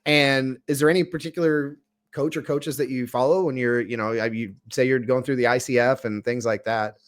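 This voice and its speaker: A man's voice: medium pitch, fairly even intonation, brisk. A man as a guest leadership coach, talking casually and emphatically, repeating his points for stress. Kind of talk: casual interview chat.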